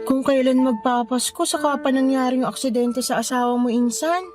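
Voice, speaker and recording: Very high-pitched, sassy cousin, played by a woman. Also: fairly even intonation, fairly quick, music in the background, natural voice. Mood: concerned, probing. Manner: blunt, sassy, exclaiming. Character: indignant, protective female cousin egging on a confidante. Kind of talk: Tagalog radio drama dialogue.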